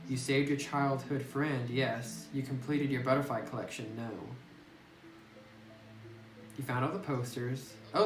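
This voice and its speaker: A man playing through a horror visual novel, reading the game text aloud and reacting nervously to scary moments, recorded on a phone mic some distance away. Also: mid-range voice. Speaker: let's play gamer. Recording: distant roomy phone audio with noise.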